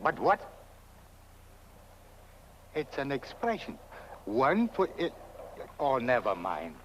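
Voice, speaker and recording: An actor playing a flustered, quibbling comic sidekick in a film, with a British accent. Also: male voice, mid-range voice, huge pitch swings, takes it slowly. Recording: dull, faintly noisy film soundtrack.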